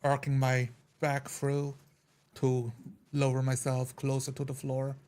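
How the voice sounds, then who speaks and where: A man's voice: medium pitch, completely flat delivery, measured pace, darkish tone. A man playing a tabletop roleplaying game, describing his character's moves in a matter-of-fact way. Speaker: player.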